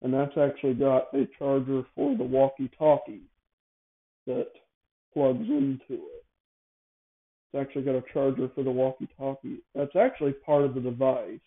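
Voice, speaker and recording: A man hosting a casual, improvised show, talking in a halting way, repeating and correcting himself as he explains things. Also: mid-range voice, fairly even intonation. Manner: halting, self-correcting, repetitive phrasing. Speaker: show host. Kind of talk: casual improvised monologue, explaining a device idea. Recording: thin, phone-like narrowband audio.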